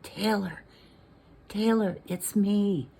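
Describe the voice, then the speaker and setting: Woman acting a hushed, anxious young hero. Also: mid-range voice, fairly even intonation, a little slow, slightly rough, pitched above the performer's own voice. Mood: urgent. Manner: hushed, urgent, repeats words. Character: anxious male hero hiding in a vent, urgent, hushed.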